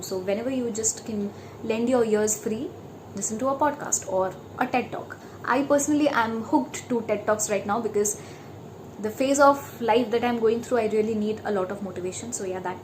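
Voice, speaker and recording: A woman's voice: fairly high pitch, a little hiss or hum, rough recording. A young woman from India with an Indian accent, chatting casually as a vlogger and slipping between English and Hindi. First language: neither English nor Hindi. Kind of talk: casual chat.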